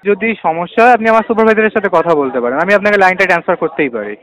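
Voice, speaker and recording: A man's voice: high voice, animated, rapid-fire, a bit bright. A man with a West Bengal Bengali accent talking defensively as a recovery agent on a phone call, cutting in and repeating words. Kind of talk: argumentative phone conversation.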